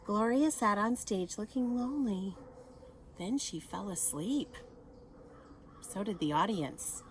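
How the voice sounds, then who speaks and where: A woman with a mid-range voice reads a children's picture book aloud like a teacher. She is expressive and turns to her young listeners with small asides and questions. There is faint background noise in the recording. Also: mid-range voice, takes it slowly.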